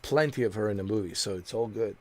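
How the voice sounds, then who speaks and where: A man's voice: lowish voice, fast. A man born in Bosnia who grew up in America, chatting casually and rambling while streaming a game, with many fillers and repeated words. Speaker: gaming streamer. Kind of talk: casual chat while playing.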